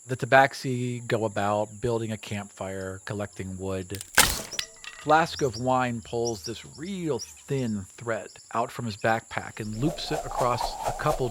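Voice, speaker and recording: A man running a tabletop game as game master, narrating in a brisk, matter-of-fact way. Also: medium pitch, noisy background.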